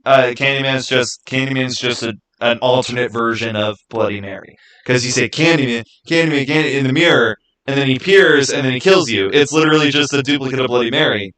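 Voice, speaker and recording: Bright-voiced man chatting casually on stream. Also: mid-range voice, low-quality recording. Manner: informal, opinionated, halting and repetitive. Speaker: livestream host. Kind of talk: casual livestream chat answering viewer comments.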